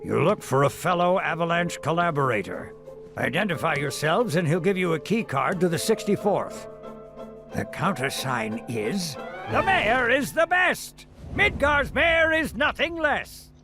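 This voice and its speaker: A man's voice: high-pitched, very animated, a little slow, music in the background, full character voice. A man voicing a self-pitying, pompous city mayor in a video game, with theatrical, melodramatic delivery. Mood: conspiratorial, then boastful, gleeful.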